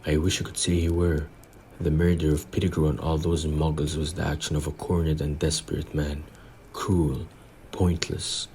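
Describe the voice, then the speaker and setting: A man plays a grave older government minister in an audio play. The voice is extremely low and level, measured and formal with a pompous weight. There is a faint noise behind it. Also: flat delivery, a little mellow. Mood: troubled.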